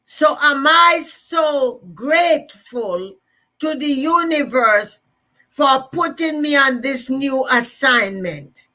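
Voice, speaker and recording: A woman's voice: high voice, animated, takes it slowly, bright, forceful tone. A woman with a Jamaican accent giving an emphatic, repetitive motivational talk to listeners. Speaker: motivational speaker.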